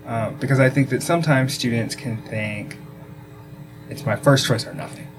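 Male interviewer, mid-pitched voice, engaged, asks follow-ups, music under speech. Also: moderate pace. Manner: engaged, frequent backchannel yeahs. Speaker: podcast host. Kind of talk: interview questions.

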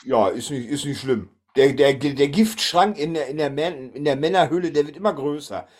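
Podcast host, male, slightly high voice, loose conversational delivery. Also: moderate pace, a little mellow. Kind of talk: casual chat.

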